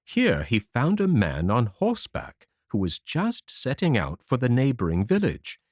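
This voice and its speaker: A man narrating a children's fairy tale audiobook in an expressive, animated storytelling manner. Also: mid-range voice, measured pace, no room sound, studio quality.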